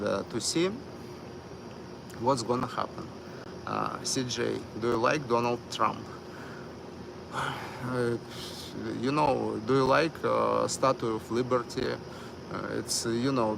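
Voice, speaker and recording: A man hosting a live stream, talking slowly with many fillers and broken grammar. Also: mid-range voice, creaky, background noise. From United States. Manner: hesitant, many fillers, non-native grammar. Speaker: livestream host.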